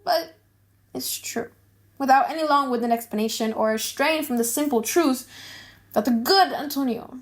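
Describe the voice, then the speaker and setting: Gossipy, mocking young gentleman, played by a woman, high voice, chatty delivery for audiobook drama. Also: normal speed, natural voice. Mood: gossipy, worried. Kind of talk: dramatic audiobook reading of a play.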